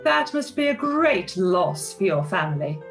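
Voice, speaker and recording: A woman's voice: on the low side, very animated, measured pace, faint music behind. A woman playing a haughty, spiteful young society lady in a period radio play, with an affected, disdainful drawl. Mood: falsely sweet, needling.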